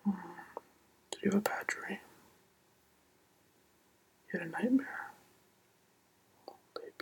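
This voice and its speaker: A roleplay performer in a soft, soothing ASMR whisper, playing a comforting partner and repeating gentle reassurances. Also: mid-range voice, slow pace, rough recording. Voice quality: breathy whisper.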